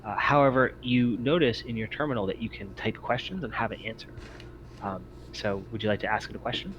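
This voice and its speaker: Male game master, lowish voice, halting narration of a roleplaying scene, faint noise on the recording. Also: lively intonation, dull audio. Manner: halting, pausing often mid-sentence.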